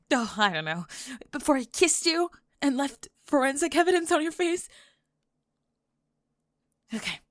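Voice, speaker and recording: A woman's voice: high voice, dry recording, clean studio sound. A woman playing a flustered young goth girl with a secret crush, rambling and stammering breathlessly in an ASMR roleplay. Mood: stunned, indignant. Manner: rambling, stammering, breathless panicked over-explaining.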